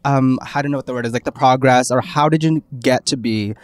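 A podcast co-host with a Canadian accent asks curious questions, hedging with fillers as they go. The voice sits at a middle pitch and sounds dry and close to the mic. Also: brisk, a little mellow, no echo. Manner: curious and chatty, hesitant with fillers. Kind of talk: casual podcast interview.

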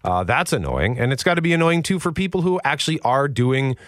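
Radio host, male, deep voice, exasperated storyteller, dry close studio mic. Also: big pitch swings, a little fast, fairly bright tone, dry recording. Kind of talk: casual morning radio chat.

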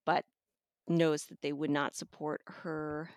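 A woman's voice: deep voice, little pitch movement, slow, dry recording, clean studio sound. A woman reviewer talking casually and conversationally as she discusses a book as a guest. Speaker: audiobook reviewer and podcast guest.

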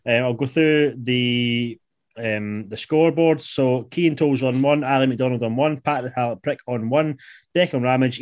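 Young man hosting a podcast. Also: mid-range voice. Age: 20s. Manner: laid-back, swears, reads riddles slowly. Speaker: podcast host. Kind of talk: casual chat.

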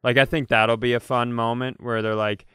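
A man with a smooth, clear voice at a middling pitch, recorded dry and close. He talks as a comedy podcast co-host, casual and rambling, pitching ideas with enthusiasm. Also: flat delivery, moderate pace, bright, forceful tone, no room sound.

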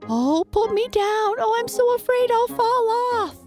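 A woman voicing a tiny, timid female toy mouse from a children's storybook, squeaky and anxious. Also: expressive, a little slow, a little mellow, soft background music. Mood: frightened, pleading.

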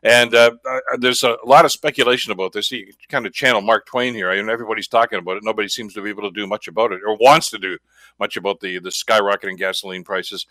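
A man's voice: lowish voice, steady tone, fast, sharp tone, no echo. A man with a Canadian accent hosting a radio talk show, interviewing in a conversational, wordy and rambling way.

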